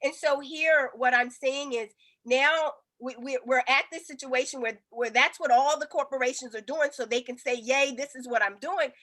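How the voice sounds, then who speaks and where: A woman's voice: high-pitched, normal speed, a bit bright. A woman consulting for small businesses, talking in a rambling way with many ums and restarts on a casual group video call.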